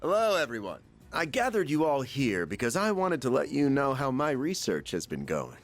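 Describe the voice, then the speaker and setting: A keen, professorial chairman who explains his research in a lively, rising-and-falling lecture voice. He sits at an ordinary middle pitch and sounds eager to share every detail. It is a video game cutscene. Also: normal speed, soft background music. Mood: lecturing. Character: chatty middle-aged male chairman and researcher, scholarly.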